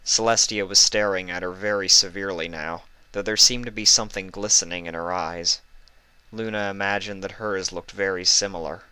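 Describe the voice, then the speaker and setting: A man reading a fan fiction story aloud as expressive scripted narration. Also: sits low, normal speed, clean tone, no room sound, rough recording.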